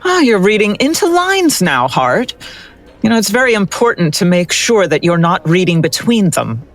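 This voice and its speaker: Casting: actress as skeptical audio-drama forensic analyst, sardonic and exacting, with widely varied intonation. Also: female voice, medium pitch, normal speed, faint music behind. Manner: deadpan, sardonic.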